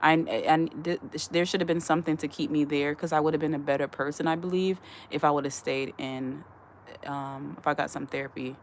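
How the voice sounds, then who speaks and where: A woman's voice: low-pitched, fairly even intonation, a little fast, slight background noise. A woman hosting a live stream in a casual chat, reading viewer comments aloud, answering them and giving earnest advice. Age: adult. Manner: earnest, advising tone. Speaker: livestream host.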